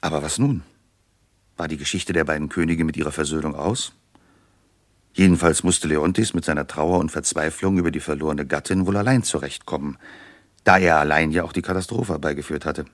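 An actor as a wry, reflective storyteller narrating a scripted radio play to the listeners. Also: male voice, rumbling low, brisk, no echo, studio quality.

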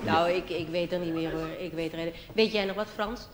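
A woman performing a conversational comedy sketch on stage, telling things in an animated, searching way with some exasperation. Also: fairly low pitch, normal speed, a crowd in the background. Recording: echoey hall, noisy old stage recording.

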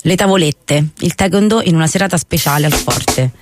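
Man, memoir reading, warm, fairly high voice, loud hip hop behind. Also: brisk. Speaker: reader. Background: loud hip hop music.

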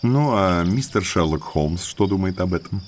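A man's voice: low-pitched, lively intonation, moderate pace, slight background noise. An actor playing an affable, inquisitive country naturalist in his thirties in an audio drama, courteous, talkative and slyly probing. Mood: insinuating, curious. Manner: courteous, talkative, insinuatingly polite.

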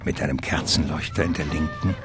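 A man's voice: a bass voice, steady tone, fairly quick. A man narrating a radio drama as a scripted literary storyteller. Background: music.

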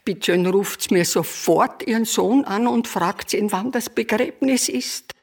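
Elderly Viennese woman, confused, gravelly, husky, radio play. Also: medium pitch, measured pace, soft background music. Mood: fussing. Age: older. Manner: rambling and muddled, talks past others. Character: confused elderly retired woman, forgetful, fussy. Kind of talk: broadcast radio drama acting.

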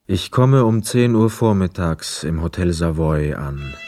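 An actor playing a reflective, weary homecoming soldier in a radio play, with measured, lyrical phrasing. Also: male voice, low-pitched, animated, darkish tone, no room sound, studio quality, soft background music. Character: weary young war returnee, reflective, dreamy wanderer. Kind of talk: literary radio play monologue and dialogue.

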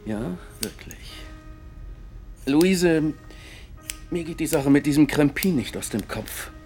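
An ambitious film producer in a radio drama, brisk and calculating. He talks quickly and plainly, with faint music under his lines. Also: fairly high pitch, animated, a little mellow. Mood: scheming.

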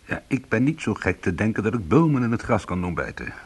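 A man's voice: on the low side, big pitch swings, brisk, husky. A man playing a bitter, sardonic small-time ex-convict in a Dutch radio play, protective and combative. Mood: bitter, wry. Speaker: lead actor and first-person narrator.

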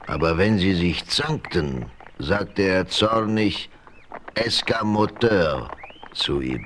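An actor playing an old Osage chief in a 1970s radio play, deliberate and grave, speaking of himself by name. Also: male voice, extremely low pitch, fairly bright tone, slight background noise, full character voice. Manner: slow, deliberate, speaks of himself in third person.

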